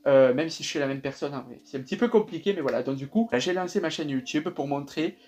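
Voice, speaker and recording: A man's voice: a little high, fast, darkish tone. A young man in his twenties with a sing-song southern French accent, chatting upbeat in a casual vlog with lots of fillers. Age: 20s. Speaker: YouTube reaction creator.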